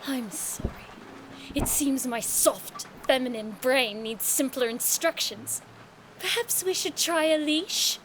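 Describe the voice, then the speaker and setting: A woman's voice: high voice, slow, fairly bright tone, slight background noise, poor audio. A woman playing a sarcastic, defiant young noblewoman explorer in an audio drama, with a haughty, theatrical manner.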